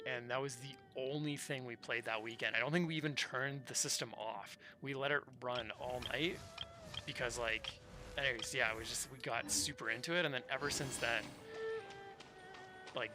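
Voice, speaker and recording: Casual male streamer over clear music. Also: mid-range voice. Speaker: game livestreamer. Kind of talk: casual chat while gaming. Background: game music.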